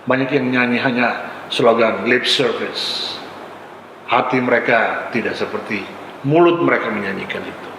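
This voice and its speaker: A man's voice: medium pitch, moderate pace, gravelly, fairly bright tone. A male pastor from Ambon, Indonesia, preaching a sermon in short, emphatic, exhorting phrases with repetition. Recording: echoey hall with long reverb, faint noise.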